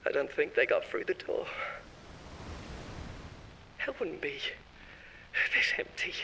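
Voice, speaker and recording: A man's voice: fairly high pitch, sing-song, slow, creaky, sharp tone, slight background noise, exaggerated voice, low-quality recording. A man voicing a panicked, self-serving male corporate marketer who shifts blame in a horror audio drama, in a wheedling, defensive monologue with nervous laughs. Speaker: voice actor.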